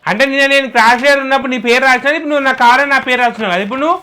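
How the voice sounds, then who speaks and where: A woman's voice: high voice, racing, pushed and bright. A young girl acting in a comedy skit as a defiant classmate, arguing back and mocking the class leader.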